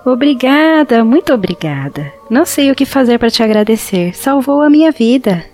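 A woman's voice: a little high, very animated, normal speed, darkish tone, faint music behind. Woman playing a tiny pleading forest fairy. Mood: joyful, grateful. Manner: pleading, earnest, formal storybook speech. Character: tiny hungry female forest fairy, pleading, grateful and gentle. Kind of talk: audio drama fantasy acting.

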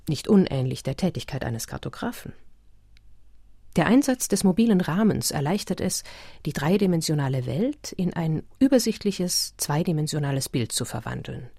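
A woman playing a scholarly narrator in a radio play, reading formal explanatory prose in a deliberate, sober manner. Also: sits low, darkish tone, husky, dry recording, studio quality, exaggerated voice.

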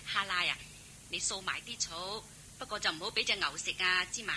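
A woman's voice: on the low side, a little fast, bright, forceful tone, poor audio. A woman with a Hong Kong Cantonese accent playing a bossy but kindly motherly maidservant in a radio drama, fussing and instructing. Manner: scolding, instructing, fussy. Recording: hissy old radio drama recording.

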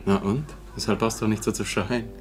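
A man's voice: on the low side, little pitch movement, brisk, natural voice. An actor playing a carefree, boastful young womaniser with a breezy manner in a 1950s German radio drama. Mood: breezy, insistent.

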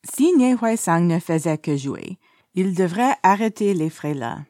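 A woman reads fiction in a narrator's style. Her voice sits a little low and moves around in a lively way. The sound is dry, with hardly any room on it. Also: unhurried, dry recording. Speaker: story reader.

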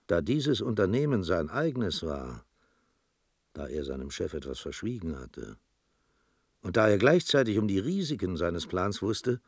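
Deep guy narrating a radio play, formal and measured, super dry sound. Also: very animated, husky, no room sound. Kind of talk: scripted literary narration for a radio drama.

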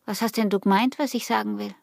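An actress playing a passionate, calculating young peasant wife in a radio drama, with an Austrian accent, often slipping into hushed, breathy whispers. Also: female voice, medium pitch, steady tone, fast, dry recording, studio quality. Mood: conspiratorial, longing. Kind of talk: German radio drama acting, intimate.

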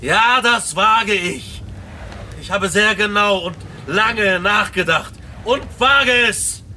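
An actor in a radio drama playing a defiant, anguished scientist who speaks forcefully and deliberately. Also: male voice, high-pitched, animated, slight background noise, exaggerated voice. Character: defiant, anguished male scientist refusing a monster.

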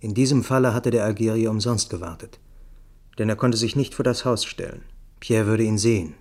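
A man acting as a storyteller in a radio play, with a fairly low voice. He recounts events in sober, measured literary prose, staying matter-of-fact throughout. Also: a little mellow, lightly acted. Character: sober male first-person narrator recounting a tense night. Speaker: narrator.

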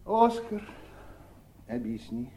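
A sly, mellow-voiced scheming businessman. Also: medium pitch, very animated, slow pace, slight background noise, rough recording. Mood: cool, deliberate. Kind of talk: 1970s Soviet radio play acting.

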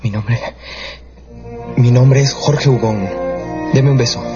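A man's voice: mid-range voice, slow pace, lightly acted. A man playing a naive, lovestruck schoolboy, ardent and pleading, in a radio drama. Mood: ardent, insistent. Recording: dull recording under loud music.

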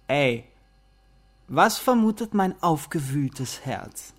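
A man acting a cheeky young student flatmate in a radio drama, flippant, casual and teasing. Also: a little high, takes it slowly, full character voice. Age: young adult. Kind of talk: naturalistic radio drama dialogue.

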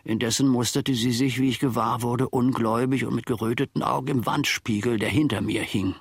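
A man's voice: mid-range voice, steady tone, a little fast, husky, no room sound, studio quality. An actor playing a wry, long-suffering old manservant who confides his tale in old-fashioned words in a radio drama. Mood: sly, narrating.

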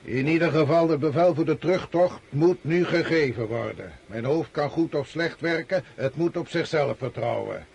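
An actor in a Dutch radio drama playing a weary, brooding old Russian field marshal, speaking with deliberate authority. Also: male voice, on the high side, animated, gravelly, darkish tone, slightly rough, full character voice. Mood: firm, decisive. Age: older.

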